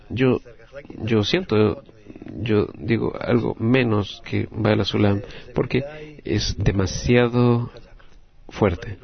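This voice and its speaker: A man with a Latin American Spanish accent interpreting a group discussion live, in halting, phrase-by-phrase delivery. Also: fairly low pitch, creaky, lo-fi sound.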